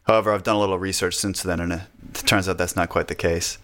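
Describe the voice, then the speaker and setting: A man from the United States talking casually in a relaxed conversation, chatty and self-deprecating, laughing often between points. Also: deep voice, fast talker.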